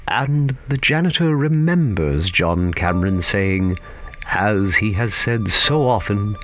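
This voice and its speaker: An actor playing the narrator of a whimsical radio play, telling the story in a measured storybook way. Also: male voice, fairly low pitch, lively intonation, a bit bright. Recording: dull sound with music underneath. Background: music.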